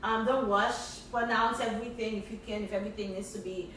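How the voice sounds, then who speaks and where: A woman's voice: medium pitch, steady tone, a little fast, fairly bright tone, far from the mic, a little hiss or hum. Emphatic woman from Haiti, echoey room. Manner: emphatic, repeating phrases for effect. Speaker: podcast co-host. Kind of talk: casual chat, motivational.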